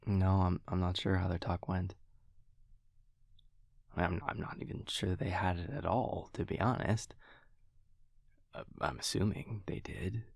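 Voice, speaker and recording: Low, gravelly, easygoing vampire boyfriend played by a man. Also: steady tone, measured pace, long pauses, no room sound, clean studio sound, full character voice. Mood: thoughtful, hesitant. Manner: hesitant, casual, softly teasing. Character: affectionate, wry male vampire boyfriend, self-deprecating and easygoing. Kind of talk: intimate audio roleplay, spoken to the listener.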